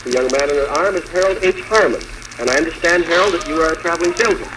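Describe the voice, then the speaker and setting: A man's voice: a little high, racing. An American man hosting a live radio show in 1940s style, chatty and bantering with guests. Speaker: radio show host, master of ceremonies. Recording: noisy vintage 1940s radio broadcast.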